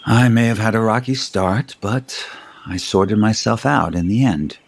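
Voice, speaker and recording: Deep-voiced roguish older man. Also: measured pace, vocal fry. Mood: teasing, cocky. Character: cocky, roguish older husband, self-assured and fond. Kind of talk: audio soap opera acting.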